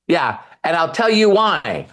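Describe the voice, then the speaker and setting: A man with a slightly high voice speaks to a group as host of a motivational talk. His delivery is informal and conversational, and he often checks that listeners are following him. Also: sing-song, a little slow, a bit bright.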